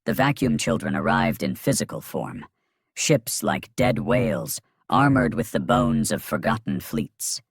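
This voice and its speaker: A man giving a dramatic scripted narration of a science fiction story, grave and in clipped phrases with a gravelly, husky voice. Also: low-pitched, dry recording, studio quality.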